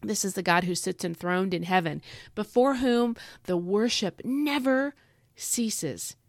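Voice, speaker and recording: A woman's voice: mid-range voice, a little slow, no room sound, studio quality. Stuffy-nosed woman hosting a devotional podcast. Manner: earnest and emphatic, repeats phrases for stress; chatty with fillers and asides. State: stuffy from a head cold. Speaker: podcast host.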